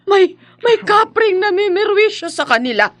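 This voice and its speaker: A woman playing a worried, protective mother of a grown son in a horror radio drama, anxious and halting, with a Filipino accent. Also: very high-pitched, sing-song, fairly bright tone, full character voice. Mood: fearful pleading.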